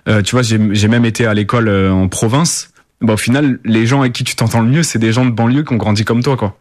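A man's voice: mid-range voice, quick pace, dry recording, clean studio sound. A young man from the Paris suburbs, answering interview questions casually with many fillers and restarts. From Paris suburbs, France. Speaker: rapper, guest.

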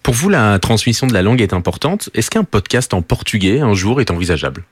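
A man interviewing a guest, asking measured questions. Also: on the low side, very animated, husky, no room sound. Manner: measured, reads quotes aloud.

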